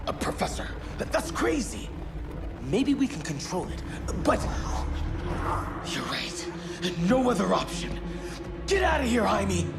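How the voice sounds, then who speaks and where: A man's voice: high voice, very animated, unhurried, gravelly, loud music behind the voice, full character voice. An actor playing an impulsive, hot-headed young male superhero in a video game cutscene, urgent and agitated. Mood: alarmed, urgent.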